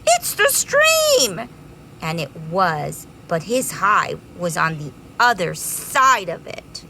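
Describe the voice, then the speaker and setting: A woman's voice: lowish voice, expressive, slow pace, vocal fry, noisy background. A woman reading a children's story aloud expressively, drawing out words dramatically for young listeners.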